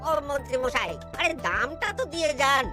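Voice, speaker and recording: A woman's voice: high-pitched, expressive, fairly quick, music in the background. A woman voicing a pleading, unpaid male village shopkeeper in a cartoon. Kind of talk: cartoon dialogue.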